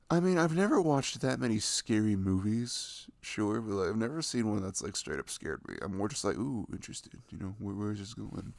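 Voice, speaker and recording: A young man playing a sweet, playful boyfriend in an intimate ASMR roleplay, rambling softly and casually close to the microphone. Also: on the low side, vocal fry, no echo. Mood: casual, reflective. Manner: soft, rambling, frequent chuckles and hums. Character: sweet, playful, affectionate boyfriend cuddling his partner.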